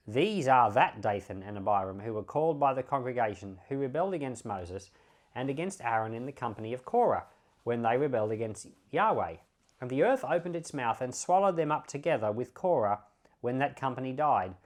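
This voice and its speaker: Man reading scripture with lively, swinging intonation. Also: medium pitch, brisk. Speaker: pastor reading scripture aloud. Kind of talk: Bible reading aloud.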